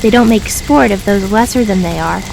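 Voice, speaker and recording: A woman's voice: mid-range voice, moderate pace, gravelly, close to an everyday voice. A woman playing a skeptical, blunt young woman in a fantasy audio drama, talking in a challenging way. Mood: accusing, insistent. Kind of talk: audio drama character acting. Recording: steady noise behind the voice.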